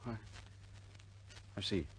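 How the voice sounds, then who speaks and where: A man's voice: slow pace, background noise, close to an everyday voice. An actor playing a shaken airman in a war radio drama, speaking hesitantly. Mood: shaken, quiet. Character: shaken male airman absorbing bad news about a comrade.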